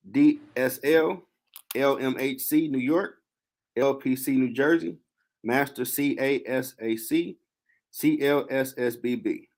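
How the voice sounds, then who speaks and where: A man hosting a casual podcast interview, brisk and slangy in manner. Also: medium pitch, darkish tone. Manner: brisk, laid-back, slangy. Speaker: podcast host.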